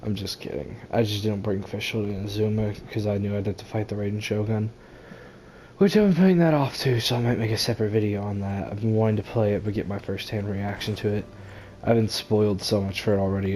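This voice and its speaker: A man's voice: fairly low pitch, a little mellow, slight background noise. A young man giving casual, blunt and sarcastic reaction commentary as he plays through a video game, swearing freely. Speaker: gamer recording a playthrough. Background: video game sound.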